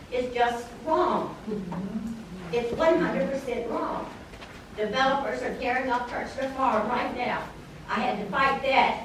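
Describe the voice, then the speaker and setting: A woman's voice: on the high side, background noise. An older woman, a local resident, speaking indignantly and emphatically at a public meeting. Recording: echoey hall picked up from far off.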